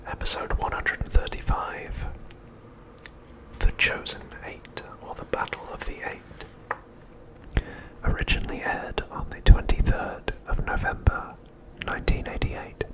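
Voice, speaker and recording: A man narrating a script as ASMR in a breathy, unvoiced whisper, softly and deliberately, pausing often between phrases. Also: low-quality recording. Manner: soft, deliberate, with frequent pauses between phrases.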